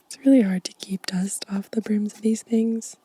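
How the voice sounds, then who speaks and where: A woman whispering gently and softly in an ASMR show-and-tell style, breathy and unhurried. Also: mid-range voice, fairly even intonation. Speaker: ASMR video creator.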